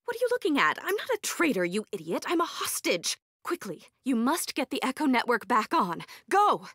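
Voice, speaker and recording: An actress voicing an eccentric, arrogant woman scientist in a video game, blunt and socially awkward, with clipped, precise, deadpan delivery. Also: high-pitched, moderate pace, no room sound, studio quality, heavily acted. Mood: indignant, urgent.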